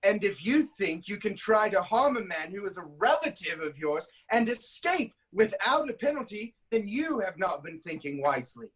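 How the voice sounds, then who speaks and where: A man's voice: sits high, very animated, normal speed, hardly any pauses, a bit bright, breathy edge, full character voice, low-quality recording. A man playing an angry, suspicious ancient Greek king in a declamatory, accusing stage tragedy reading.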